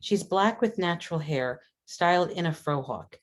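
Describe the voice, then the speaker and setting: A woman's voice: fairly low pitch, animated, normal speed. A woman reading screenplay stage directions as scripted narration, matter-of-fact in delivery. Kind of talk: scripted narration, table read.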